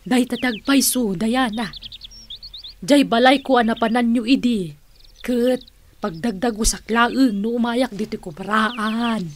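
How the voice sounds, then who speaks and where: A woman's voice: a little high, unhurried, slight background noise, close to an everyday voice. A woman in an Ilocano radio drama playing a wealthy, plain-living older aunt, playful and good-natured, drawing out her words with emphasis. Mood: explaining, candid.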